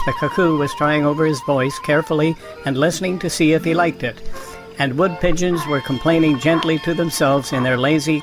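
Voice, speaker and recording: A man's voice: fairly high pitch, low-quality recording. A man narrating a scripted children's story.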